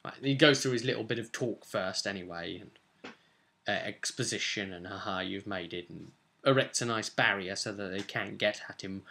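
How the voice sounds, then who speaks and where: Bright-voiced young British man, chatty and emphatic. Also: lowish voice, vocal fry. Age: young adult. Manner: chatty, emphatic, gesturing storyteller. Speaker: vlogger and game master recounting a session. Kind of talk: casual recap to camera. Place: small indoor home room, seated at a desk.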